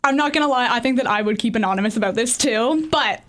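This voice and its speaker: A woman's voice: a little high, quick pace. A young woman co-hosting on radio, reading out messages and chatting in an informal, candid way. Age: young adult. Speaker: student co-host reading listener messages.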